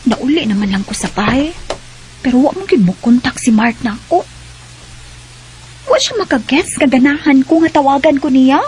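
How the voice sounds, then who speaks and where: An actress playing a woman who recounts memories of her youth in measured radio drama narration. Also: high voice, lively intonation, a little mellow, a little hiss or hum. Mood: wistful. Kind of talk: scripted first-person radio drama narration.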